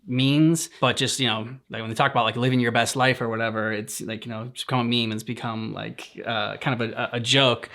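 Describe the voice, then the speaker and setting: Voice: mid-range voice, fairly bright tone. A reaction show co-host chatting casually and reflectively, searching for words in a hesitant manner.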